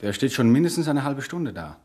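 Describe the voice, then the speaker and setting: An actor playing an alert, suspicious bank employee who speaks with watchful urgency in a radio play. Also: male voice, medium pitch, big pitch swings, a little fast, a little mellow, close to an everyday voice. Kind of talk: German radio crime comedy acting.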